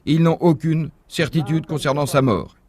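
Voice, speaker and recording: A man giving a measured, didactic religious lecture as a voice-over, posing rhetorical questions to the audience. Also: a little high, crowd noise. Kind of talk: dubbed lecture, explaining a point.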